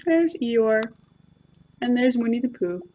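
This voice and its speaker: Female storyteller for children's book narration: animated, fairly high voice, deliberate phrasing. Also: lo-fi sound, a little hiss or hum. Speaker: library storyteller.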